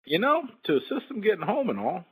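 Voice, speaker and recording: A man playing a glib, sleazy shuttle pilot in a game-based audio drama, smooth-talking like a salesman. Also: high-pitched, wildly swooping pitch, fairly quick, heavily acted, low-quality recording. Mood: falsely reassuring. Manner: smooth-talking, falsely reassuring salesman patter. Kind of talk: fan audio drama, game-story character acting.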